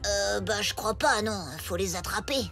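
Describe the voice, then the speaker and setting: A woman's voice: medium pitch, moderate pace, over music, lightly acted. A woman playing an earnest, slightly doubtful ten-year-old schoolboy in a French cartoon, speaking hesitantly. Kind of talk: French animated cartoon dialogue.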